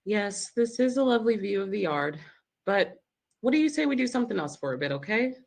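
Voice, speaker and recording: A woman's voice: medium pitch, sing-song, fairly quick, creaky, darkish tone. Older female nurse voiced by a woman: prim, proper, coaxing yet firm, table read delivery.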